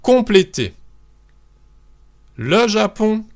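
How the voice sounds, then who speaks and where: A man from France teaching a beginners' French lesson, speaking model sentences slowly and deliberately for learners to repeat. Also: sits high, big pitch swings.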